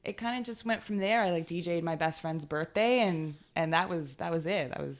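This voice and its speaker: A woman's voice: fairly low pitch, fairly quick. A woman in her thirties, a DJ and producer, chatting casually in an interview, laughing often and filling her talk with "like" and "you know what I mean.". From Canada. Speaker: DJ and producer guest.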